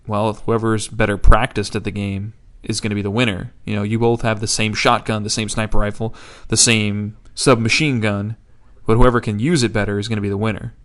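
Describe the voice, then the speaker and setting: A man's voice: fairly low pitch, a bit bright. A man on a gaming podcast explaining his views in an easygoing, casual chat. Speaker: podcast co-host.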